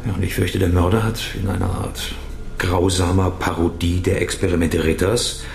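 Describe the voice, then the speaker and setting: An actor playing an uneasy, intuitive and scholarly profiler in a radio crime drama, speaking haltingly. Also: male voice, low-pitched, little pitch movement, vocal fry, echoey room. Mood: grave, explaining.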